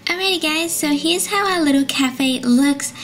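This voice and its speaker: A woman's voice: high voice, moderate pace, music in the background. A young woman hosting a toy channel, chatting cheerfully through a casual unboxing. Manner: bubbly, chatty, cutesy.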